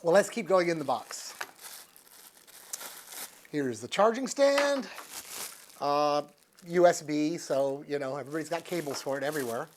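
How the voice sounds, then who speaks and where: A man's voice: fairly high pitch, animated, normal speed, pauses often, slight background noise. An American man in his sixties chatting casually and unscripted as he reviews a product, thinking aloud in a folksy, easygoing manner. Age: 60s. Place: living room with couch, indoors.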